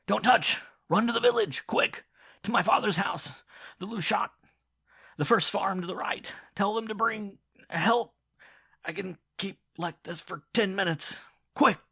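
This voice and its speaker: Guy doing a pinned down man yelling for help, panicked n desperate, high strained sharp voice, audiobook horror. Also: measured pace, vocal fry, breathy edge, dry recording, clean studio sound, exaggerated voice. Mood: frantic, pleading. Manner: desperate shouting in short bursts. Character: trapped man pinned under a wagon, frantic with terror. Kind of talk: audiobook horror story acting.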